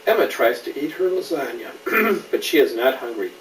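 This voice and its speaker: A man's voice: medium pitch, expressive, fairly quick. A father reading a story aloud to a child, patiently explaining and asking questions in casual asides. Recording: roomy, distant mic, faint background noise.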